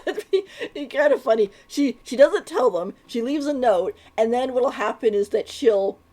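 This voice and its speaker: Animated, chatty woman streaming a game. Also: fairly high pitch, moderate pace. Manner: informal, giggly, chatty. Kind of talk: casual live game commentary.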